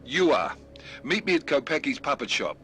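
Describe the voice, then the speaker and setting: A man's voice: on the high side, big pitch swings, normal speed, fairly bright tone, faint music behind. An actor playing a curt, commanding male agency boss issuing orders in a video game cutscene.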